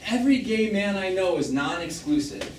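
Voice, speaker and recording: A man's voice: high voice, expressive, moderate pace. Defensive middle-aged man, echoey and distant. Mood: combative, scornful. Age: 50s. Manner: curt, defensive, dismissive. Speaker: stage actor. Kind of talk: live stage drama acting. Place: small theatre, recorded from the audience. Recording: echoey, noisy stage recording from far off.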